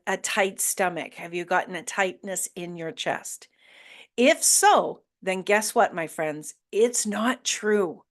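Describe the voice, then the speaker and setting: A woman's voice: on the high side, fairly bright tone. A woman hosting a motivational podcast, speaking earnestly and emphatically to her listeners, asking rhetorical questions and sometimes swearing mildly. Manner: blunt, with mild swearing and laughs. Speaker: podcast host.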